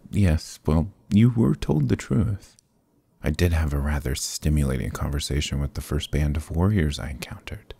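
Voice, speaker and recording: A man playing a sly, charming adventurer thief in a fantasy ASMR roleplay, smooth-talking, courtly and evasive, with theatrical hesitations. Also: rumbling low, warm tone, no echo, full character voice. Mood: charming, defensive. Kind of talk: fantasy ASMR roleplay acting, close and soft.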